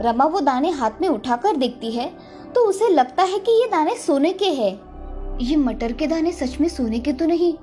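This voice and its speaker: A high-pitched female storyteller narrates a children's moral tale from a script. Music plays softly behind her voice.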